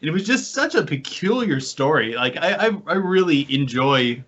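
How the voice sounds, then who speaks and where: An American man hosting a podcast, telling anecdotes in a casual, animated storytelling way. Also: fairly high pitch, normal speed. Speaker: podcast host and paranormal investigator. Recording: dull, lo-fi online call audio.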